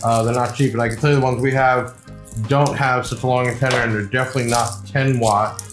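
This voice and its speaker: A man's voice: mid-range voice, steady tone, fast talker. Casual man reviewing gear in an echoey room. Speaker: video host and shop seller presenting products. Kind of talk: casual unboxing review, talking to camera. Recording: echoey room with music behind.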